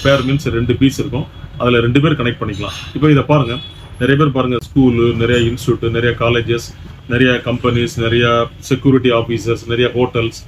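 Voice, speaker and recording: A man with a Tamil Nadu accent giving an emphatic, repetitive product sales pitch, dropping in English words as he presents. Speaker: shop presenter selling electronics. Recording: dull lo-fi sound with faint background noise.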